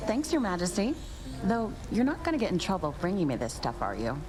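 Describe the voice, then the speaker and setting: A woman's voice: on the low side, huge pitch swings, fairly quick, loud music behind the voice. A woman voicing a chatty young nymph in a video game, breezy, casual and sardonic. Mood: cautious, grateful.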